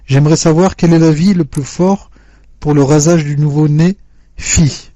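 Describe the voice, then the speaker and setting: Mellow man reading questions aloud. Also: a little high, steady tone, no echo. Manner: measured, formal reading. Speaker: question reader. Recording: dull dry lo-fi recording.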